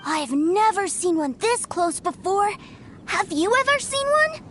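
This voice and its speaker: A woman's voice: extremely high pitch, sing-song, measured pace, a bit bright, faint music behind, heavily acted. A woman voicing an eager, proud and innocent little boy in a video game, chatty and earnest. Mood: excited. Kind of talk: video game character acting.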